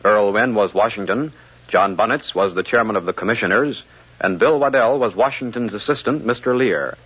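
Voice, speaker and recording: A man announcing and narrating a 1950s radio programme from a script, in a formal, measured delivery. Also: lowish voice, a bit bright, breathy edge. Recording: muffled vintage radio broadcast.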